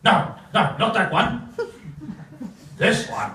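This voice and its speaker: Official played by a man: bumbling, slow, hesitant, highish voice, live comedy with audience. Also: crowd noise. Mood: flustered. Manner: stammering, halting. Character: bumbling, hesitant male city official leading a meeting. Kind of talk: live comedy radio play. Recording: echoey distant stage, audience behind.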